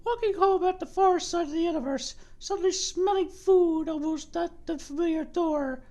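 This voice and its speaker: A woman's voice: very high voice, normal speed, a little mellow, pitched above the performer's own voice. A woman voicing a fervent, dreamy godlike being in a dramatic reading of game dialogue, with emphatic delivery. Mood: dreamy wonder.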